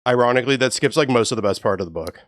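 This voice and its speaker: A young man with a low, even voice, chatting casually and swearing freely with his live stream chat. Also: rapid-fire, no echo, studio quality. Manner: laid-back, profane, joking with chat.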